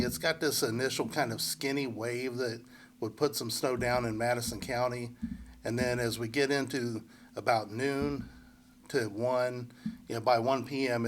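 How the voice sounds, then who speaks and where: A man with a congested head cold giving a relaxed, unscripted local weather forecast, full of fillers and restarts. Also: medium pitch, slight background noise. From central Indiana. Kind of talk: casual forecast walkthrough.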